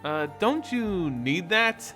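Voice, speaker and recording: A man's voice: sits high, sing-song, slow pace, pushed and bright, faint music behind, exaggerated voice. A man voicing an earnest, plain-spoken heroine in a fantasy storybook reading.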